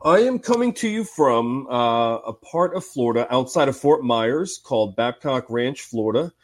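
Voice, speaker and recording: A man from Ohio with a cold and a slightly raspy voice, a guest telling stories in a relaxed, chatty way with many fillers. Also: mid-range voice, normal speed. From Cincinnati, Ohio, US. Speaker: cigar industry guest. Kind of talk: casual podcast interview.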